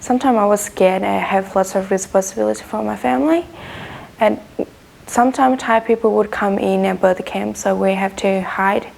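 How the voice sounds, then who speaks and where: A woman's voice: medium pitch, normal speed, vocal fry, darkish tone, a little hiss or hum. A woman from Burma, a community leader, speaking in simple, earnest phrases.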